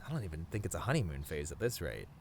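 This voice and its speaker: A man playing a sweet, bashful young boyfriend in a romantic roleplay, talking to the listener in a tender, halting, earnest way. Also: low voice, very animated, creaky, slight background noise, heavily acted. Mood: tender, sincere. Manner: soft-hearted, halting, sighing, chuckling, gently earnest.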